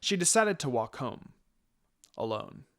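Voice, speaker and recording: A man's voice: medium pitch, very animated, a little slow. A man narrating a fan fiction story, reading scripted prose aloud. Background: music.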